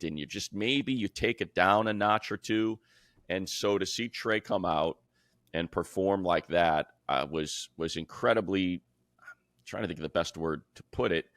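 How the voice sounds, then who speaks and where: A man with a deep voice talks football as a podcast co-host. His delivery is conversational and colloquial, like an analyst chatting with a colleague. The sound is dry, with very little room on it. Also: a bit bright, no echo. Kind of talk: casual sports talk.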